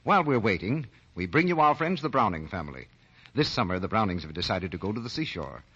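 Male 1940s commercial announcer, low and lively, dull archival sound. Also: quick pace. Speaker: radio announcer. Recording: muffled, rough 1940 radio recording.

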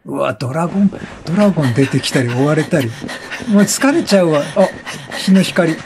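A man hosting a let's play with excited, exclamatory commentary, often repeating words in bursts. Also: on the high side, brisk, noisy background. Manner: laughs often while talking.

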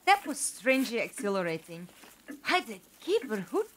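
An actress in an audio drama playing a plucky, excitable female companion, anxious but capable. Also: fairly high pitch, moderate pace, noisy background. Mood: excited, busy. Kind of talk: fan audio drama acting.

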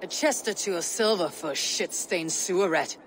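An actor playing a stern, menacing Viking warrior leader in a video game, terse and commanding. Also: male voice, high-pitched, moderate pace, fairly bright tone, a little hiss or hum, poor audio. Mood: scornful resolve.

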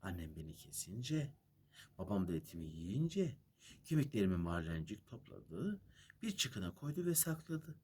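A man's voice: sits low, big pitch swings, moderate pace, dark tone, slightly rough, full character voice. A man voicing a proud magical bird from a fairy tale, sing-song in verse and firm in bargaining. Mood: sing-song, plaintive.

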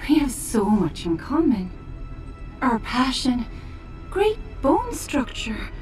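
An actress voicing a sultry, teasing succubus temptress in a game cutscene. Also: female voice, fairly high pitch, big pitch swings, slow pace, faint music behind. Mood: seductive. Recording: game cutscene with loud score.

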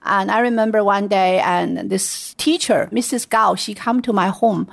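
A woman's voice: mid-range voice, measured pace, creaky, dry recording. Middle-aged woman with a Chinese accent, chatty interview guest, dead-dry mic. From China. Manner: chatty storytelling with light laughs. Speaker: guest, venture investor. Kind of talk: casual interview.

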